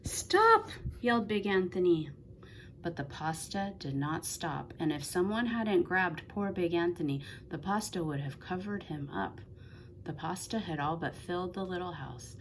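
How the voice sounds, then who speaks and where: A woman reading a children's story aloud with expressive storytelling delivery. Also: on the low side, moderate pace, a little hiss or hum, rough recording. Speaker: storybook reader.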